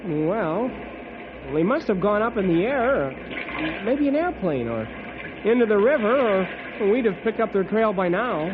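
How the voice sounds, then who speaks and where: An actor playing an eager, polite young junior ranger in an old-time radio adventure, earnest and boyish. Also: male voice, high-pitched, sing-song, a little slow. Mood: discouraged, earnest. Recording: tinny, noisy old radio recording.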